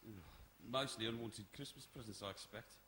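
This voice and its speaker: A man's voice: medium pitch, moderate pace, sharp tone, lightly acted. A man playing a cheeky, grumbling committee member with a dry manner in a live stage play. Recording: noisy echoey hall, live performance.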